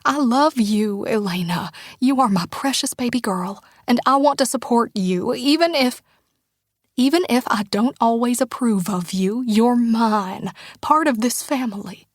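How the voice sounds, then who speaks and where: A woman voicing a tender small-town mother who speaks in a soft, often breathy near-whisper, pleading and heartfelt. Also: a little high, a little slow, no room sound, clean studio sound. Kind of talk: audiobook character dialogue.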